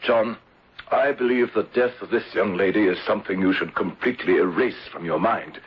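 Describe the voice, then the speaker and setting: An actor playing a courteous, concerned older English gentleman in a radio mystery drama, speaking in a measured, formal manner. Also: fairly low pitch, slightly rough. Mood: sober concern. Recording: dull lo-fi radio broadcast, faint noise.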